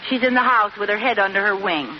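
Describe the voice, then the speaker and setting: Wisecracking housewife played by a woman, coaxing, animated, radio comedy, dull noisy recording, studio audience behind. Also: mid-range voice, fast talker, natural voice. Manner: coaxing, wry, patient. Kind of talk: 1940s-50s radio sitcom acting.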